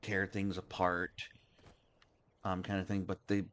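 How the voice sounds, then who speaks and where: A man hosting a game livestream, chatting casually in a halting, rambling way. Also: low voice, completely flat delivery, a bit bright. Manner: halting and rambling, many restarts. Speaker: game streamer, host.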